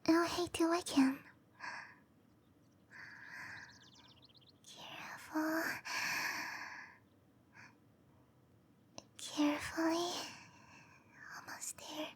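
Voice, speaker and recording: A woman voicing a shy, gentle, scatterbrained cherry blossom dryad girl in a hesitant, apologetic whisper for roleplay ASMR. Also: high-pitched, level tone, slow pace, pauses often. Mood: hushed, careful. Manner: hesitant, apologetic, breathy whispering with soft giggles.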